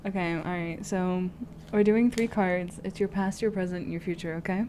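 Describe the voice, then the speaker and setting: A woman's voice: lowish voice, steady tone, normal speed, dark tone, a little hiss or hum. A woman streamer in casual, giggly, playfully profane chat as she hosts a tarot reading.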